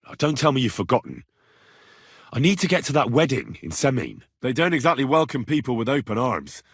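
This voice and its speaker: Young medieval adventurer for a game, kinda husky, earnest n blunt, gets exasperated, very dry studio sound. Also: male voice, medium pitch, very animated, brisk, a bit bright, dry recording, exaggerated voice. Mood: wry, reluctant. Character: young, plain-spoken medieval adventurer, wry and impatient. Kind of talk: video game dialogue acting.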